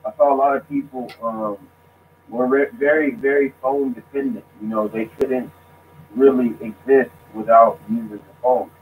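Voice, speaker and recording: A man speaking casually as a guest, halting and restarting his sentences. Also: medium pitch, steady tone. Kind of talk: casual discussion. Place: lives abroad. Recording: tinny phone line with faint noise.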